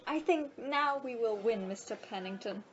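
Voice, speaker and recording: A woman's voice: fairly high pitch, animated, normal speed, natural voice. A woman playing a polite, confident cruise passenger in an audio play, speaking in a matter-of-fact way. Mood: polite, pleased. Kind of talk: amateur audio play acting. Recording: muffled recording with background noise.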